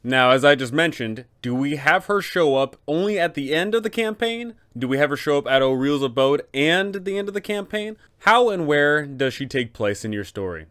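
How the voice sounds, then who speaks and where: A man hosting a scripted explainer for game masters, speaking emphatically in deliberate phrases with rhetorical questions. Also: fairly high pitch, expressive, fairly bright tone. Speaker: host, game master advisor. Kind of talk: scripted explainer on running a tabletop campaign.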